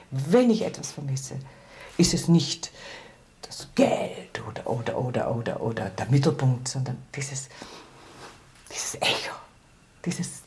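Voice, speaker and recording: A woman's voice: deep voice, dark tone. An older woman speaking confessionally in an interview, reflective, repeating words for emphasis and at times sinking to a near whisper. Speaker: interviewee, career performer.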